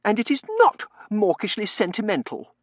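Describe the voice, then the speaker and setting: A man's voice: expressive, normal speed, dry recording, studio quality. A man voicing a spoiled, petulant young lady in an audiobook, indignant and imperious. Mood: outraged. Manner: shrill, indignant, imperious, gushing. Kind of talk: audiobook character voice.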